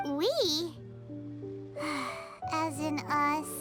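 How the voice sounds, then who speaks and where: Squeaky, extremely high little fairy companion. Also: female voice, little pitch movement, drawn-out words, music in the background. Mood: exasperated. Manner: squeaky, exclamatory, speaks in third person. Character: tiny childlike female fairy companion, excitable and indignant. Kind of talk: English video game voice acting.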